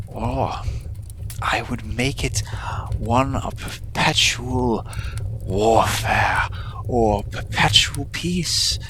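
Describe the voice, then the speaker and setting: A performer voicing a centuries-old villain in love, grandiose and devoted, speaking in breathy theatrical declarations for a romantic audio roleplay. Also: mid-range voice, background noise, close to an everyday voice.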